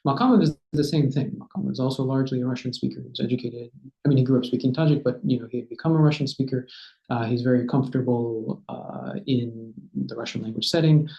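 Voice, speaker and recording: A man in his thirties, a historian, giving a measured academic talk from a home study over a video call, often pausing with "uh" and checking in with "right?". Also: mid-range voice, vocal fry, mellow. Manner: measured lecturing with frequent "uh" and "right?". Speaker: historian, guest speaker. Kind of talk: academic talk answering a question. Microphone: laptop webcam on video call. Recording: dull, roomy video-call audio.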